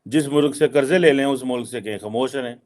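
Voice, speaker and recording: A man's voice: medium pitch, fast, darkish tone. A man giving spoken political commentary, emphatic and rhetorical, sometimes switching into English.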